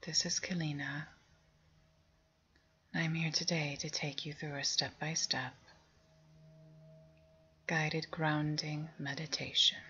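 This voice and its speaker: Slow, low-voiced woman guiding a meditation. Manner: soft, breathy, near-whispered, calming. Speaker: meditation guide. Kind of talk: guided meditation, slow spoken instructions. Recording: dull lo-fi sound under music. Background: meditation music with singing bowls.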